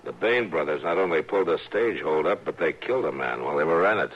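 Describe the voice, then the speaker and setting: An actor playing a calm, steady frontier marshal man who questions people in a dry, measured way, in an old radio drama. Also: very deep voice. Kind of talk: 1950s radio drama acting. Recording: old radio broadcast, dull and hissy.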